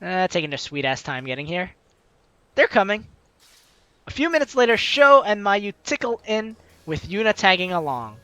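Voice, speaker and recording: A woman's voice: mid-range voice, very animated, moderate pace, a bit bright, no echo. A woman doing a playful, slangy let's play, reading dialogue aloud and commenting casually. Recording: faint music behind the voice.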